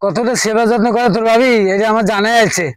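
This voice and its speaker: High-voiced sulky village man, played by an actor. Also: steady tone, fast, clear, resonant tone, no echo, natural voice. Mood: sulky, stubborn. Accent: Bangladeshi regional. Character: sulky, stubborn village man refusing to eat.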